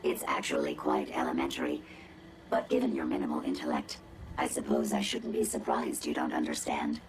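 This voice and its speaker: Haughty female game robot: extremely deep, machine-filtered, sardonic and wordy, coldly murderous, with clear noise underneath. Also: level tone, fairly quick. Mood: condescending. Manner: sardonic, pompous, precise, wordy. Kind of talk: video game cutscene acting.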